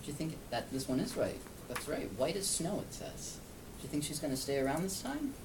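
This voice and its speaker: A man's voice: fairly high pitch, sing-song, fairly quick. A man reading a picture book aloud to a young child, explaining and asking simple questions as he goes. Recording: distant mic, clear background noise.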